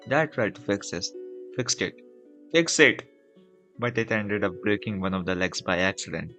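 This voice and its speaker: A male game streamer with a lowish voice and an Indian accent, a native Hindi speaker. He reads on-screen text aloud and comments casually. Faint game music plays behind him. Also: lively intonation, normal speed, bright, forceful tone.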